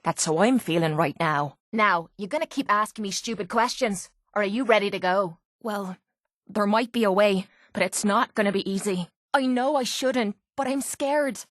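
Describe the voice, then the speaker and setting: An actress voices a tough young Irish woman, a video game companion with a blunt, sardonic tongue. She sits at a middling pitch, close and dry, with a gruff, informal manner and a vulnerable streak under the bravado. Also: moderate pace, dry recording, lightly acted. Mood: brash, impatient, then scared, confessing. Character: brash, foul-mouthed young Irish woman brawler, tough but vulnerable.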